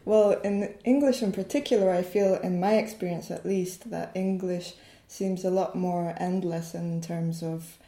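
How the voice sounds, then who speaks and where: Flat, mellow woman, thinking aloud. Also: lowish voice, measured pace, few pauses. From Mexico. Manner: thoughtful, searching for words, many fillers. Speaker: poet and translator. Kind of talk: casual interview chat. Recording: roomy echo.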